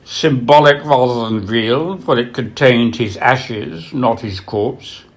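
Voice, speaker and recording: Slow, solemn man reading aloud. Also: medium pitch. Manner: solemn, measured, dramatic pauses. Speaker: author reading from own book. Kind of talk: scripted literary narration, biography reading.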